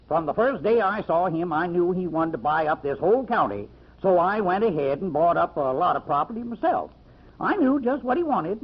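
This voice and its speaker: An actor playing a shrewd, talkative country store owner, folksy and self-satisfied, in an old-time radio mystery. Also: male voice, sits high, breathy edge, full character voice. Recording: muffled, dull old radio recording.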